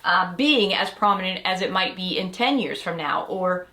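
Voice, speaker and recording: A woman's voice: lowish voice, lively intonation, moderate pace, sharp tone. A middle-aged woman co-hosting a podcast in casual chat, talking in a chatty way and often finishing her partner's sentences. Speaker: podcast co-host.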